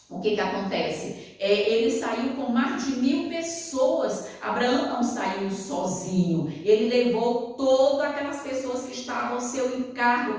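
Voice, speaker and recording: A woman's voice: fairly high pitch, a little mellow. A woman in her sixties giving a devotional Bible lesson, asking rhetorical questions and answering them herself while addressing listeners fondly. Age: 60s. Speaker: Bible study teacher. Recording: echoey room, voice from far off the mic.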